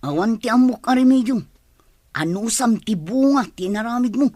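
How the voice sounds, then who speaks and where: High-voiced, scolding family matriarch. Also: female voice, expressive, moderate pace, dark tone, no echo, studio quality. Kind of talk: radio drama acting in Ilocano.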